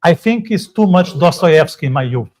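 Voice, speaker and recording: A man's voice: fairly high pitch, lively intonation, moderate pace, a little mellow. A man co-hosting a live writers' podcast in casual chat, joking and putting questions to his guests. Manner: chatty, joking, asks the others questions. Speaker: podcast co-host. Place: hotel room, live session.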